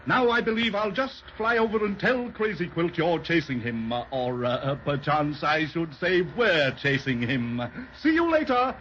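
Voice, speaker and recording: A pompous bragging stork. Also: male voice, a little high, expressive, moderate pace, a bit bright, a little hiss or hum. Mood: gleeful. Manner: pompous, wordy, affected British-style phrases. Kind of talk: 1930s radio acting. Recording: dull old 1930s radio transcription.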